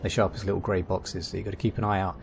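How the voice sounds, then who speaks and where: A man's voice: deep voice, flat delivery, very fast, vocal fry, a little hiss or hum. A man giving casual guide commentary for a video game, as the host of a gaming video.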